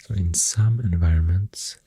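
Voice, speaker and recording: An ASMR creator with a Swedish accent, speaking very softly and often near a whisper, thinking aloud hesitantly into a close microphone. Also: deep voice, a little slow, no room sound, low-quality recording. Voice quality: very soft, breathy, often dropping to a whisper. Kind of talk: soft-spoken ASMR, rambling science facts.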